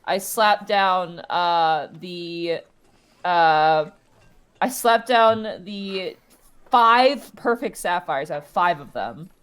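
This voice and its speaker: A woman's voice: lowish voice, very slow, fairly bright tone, clear, resonant tone. A woman playing a tabletop roleplaying game, casually describing what her character does. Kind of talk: casual improvised roleplay chat.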